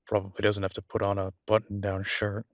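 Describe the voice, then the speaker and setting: A man playing a devoted, easygoing chef and reassuring partner in an intimate audio roleplay, speaking softly and haltingly with a husky voice. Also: on the low side, completely flat delivery, no echo, clean studio sound, lightly acted. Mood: musing, frank.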